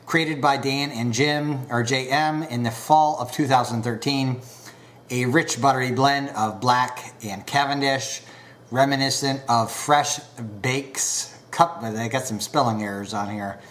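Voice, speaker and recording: A man casually reviewing pipe tobacco, unhurried and full of filler pauses, a bit stuffed up from a recent cold. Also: mid-range voice, fairly even intonation, fairly bright tone.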